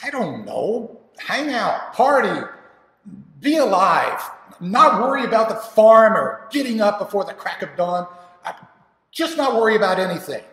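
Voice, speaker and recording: A man playing a restless, defiant young son in a stage-style monologue, with forceful, stammering outbursts, desperate to leave. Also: sits high, very animated, a little slow, few pauses, pitched above the performer's own voice, exaggerated voice. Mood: agitated, defiant. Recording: roomy, echoing hall with long reverb.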